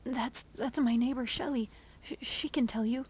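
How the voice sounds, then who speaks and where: A woman voicing an alarmed, bewildered male homeowner, hushed and urgent, stammering in a horror story. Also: on the high side, fairly even intonation, normal speed, a little hiss or hum, natural voice. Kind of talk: whispered horror story acting.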